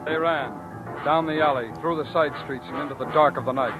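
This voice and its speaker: An actor narrating a crime story in dramatic old-time radio style. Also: male voice, on the high side, fairly even intonation, fairly quick, close to an everyday voice, rough recording. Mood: grave, suspenseful. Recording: old radio drama over loud music.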